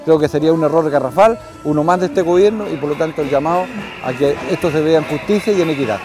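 A man's voice: on the high side, quick pace. A middle-aged Chilean man, a mayor, giving an interview statement outdoors. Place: outdoors at a rally. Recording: music behind, outdoor rally.

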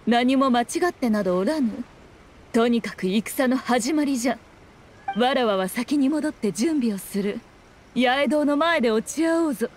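A woman's voice: fairly high pitch, moderate pace, a little mellow, slight background noise, natural voice. A woman voicing a proud, sly, ageless fox-spirit shrine maiden in an anime game, with haughty, old-fashioned speech.

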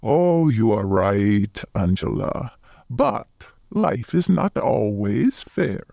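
A man acting a patiently chiding school drama teacher in a radio play, with drawn-out, emphatic delivery. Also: on the low side, sing-song, gravelly, dark tone, no echo, highs cut off, exaggerated voice.

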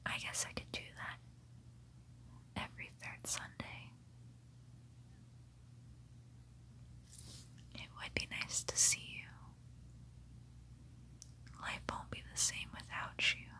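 A woman as a slowly whispering gentle vampire. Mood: tender, wistful. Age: 20s. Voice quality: breathy whisper. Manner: slow, tender, soothing. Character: gentle, protective female vampire saying a reluctant goodbye. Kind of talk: whispered ASMR roleplay. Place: small bedroom, indoors. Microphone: close-up mic, face near camera.

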